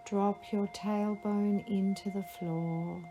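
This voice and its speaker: A woman guiding a relaxation meditation, giving slow, soothing instructions. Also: medium pitch, steady tone. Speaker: meditation guide. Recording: faint music behind the voice.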